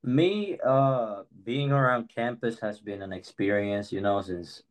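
A young man from Spain in his first year of college, co-hosting a casual student chat in an earnest, reflective way with pauses and fillers. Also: lowish voice, rough recording. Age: young adult. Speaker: co-host.

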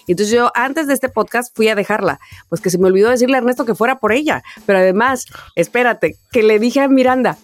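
A woman's voice: fairly high pitch, fast talker, few pauses, faint music behind. A woman co-hosting a casual podcast, telling stories expressively and acting out quoted dialogue. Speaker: podcast co-host. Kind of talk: casual chat and anecdotes.

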